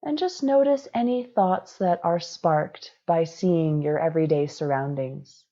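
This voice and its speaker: Female meditation guide, unhurried and measured, dark mellow tone, instructive delivery. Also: low voice, highs cut off.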